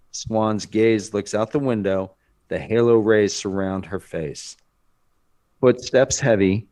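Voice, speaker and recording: Slow, low-voiced male narrator. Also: a little mellow. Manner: dramatic, deliberate. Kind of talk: scripted screenplay narration.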